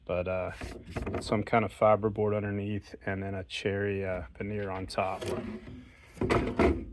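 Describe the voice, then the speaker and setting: A man's voice: on the low side, little pitch movement, measured pace, background noise. A man in his fifties hosting a casual DIY vlog, talking informally and thinking aloud as he works. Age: 50s. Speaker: DIY host.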